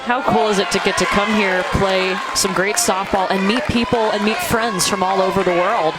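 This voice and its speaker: A man calling a youth softball game live, lively and rising with the action. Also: sits high, moderate pace. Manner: lively, calls plays with rising excitement. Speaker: play-by-play commentator. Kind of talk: live sports commentary. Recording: outdoor stadium with loud crowd noise.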